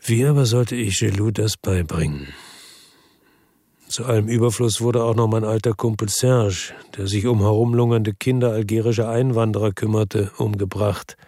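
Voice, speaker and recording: A man's voice: lowish voice, steady tone, moderate pace, mellow, dry recording, clean studio sound. An actor playing a world-weary former policeman from Marseille, narrating his case soberly and laconically in a radio drama. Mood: weary, reflective. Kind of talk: first-person radio drama narration and dialogue.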